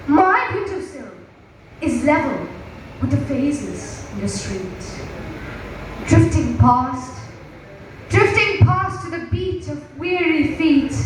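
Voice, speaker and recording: Young female reciter, teens, high voice, very slow declamatory delivery, student contest style. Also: a bit bright. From Kerala, India. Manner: declamatory, deliberate drawn-out phrasing. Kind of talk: dramatic poetry recitation. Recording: echoey hall, distant mic, faint noise.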